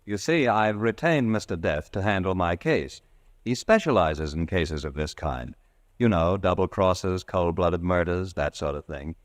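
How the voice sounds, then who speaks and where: A man's voice: sits low, expressive, measured pace. An actor playing a dead man's ghost returned to taunt his killer, smug and cold, in an old radio drama. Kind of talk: 1940s radio acting.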